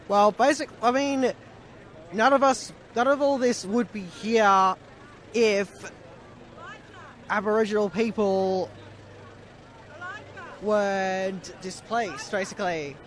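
A man's voice: high voice, fairly even intonation, takes it slowly, pauses often, fairly bright tone, a little hiss or hum. A man at an outdoor rally giving an earnest answer, searching for words. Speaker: rally attendee.

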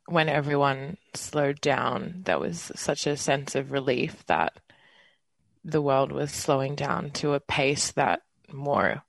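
A twenty-eight-year-old radio host with an Australian accent, leading a community panel in an earnest, relaxed way. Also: mid-range voice, gravelly, no echo. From Western Australia. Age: 20s. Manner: earnest, relaxed, with fillers and small laughs.

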